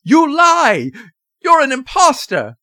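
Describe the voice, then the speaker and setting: Male narrator as flustered storybook father, raised pitch, frantic, indignant, swooping intonation. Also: huge pitch swings, normal speed, a bit bright, no room sound, studio quality, exaggerated voice. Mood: enraged, frantic. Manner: frantic, stammering, exclaiming. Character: panicky, indignant gentleman, a horrified new father.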